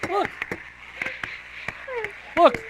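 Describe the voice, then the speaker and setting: A man's voice: drawn-out words, noisy background. A man giving casual, slangy, mocking commentary as he reacts to a horror series, often repeating phrases for emphasis. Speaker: reaction video host.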